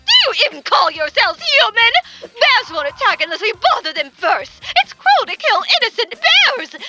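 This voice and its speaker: A woman's voice: extremely high pitch, heavily acted. A woman voicing a haughty, self-righteous rich mother in a manga dub, scolding theatrically over background music. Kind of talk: manga dub character acting.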